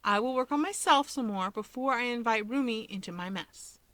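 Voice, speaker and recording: A woman voicing an earnest, gentle, insecure adult sister in a story, speaking carefully but firmly. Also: fairly high pitch, normal speed, dry recording, voice pushed up, natural voice. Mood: resolute.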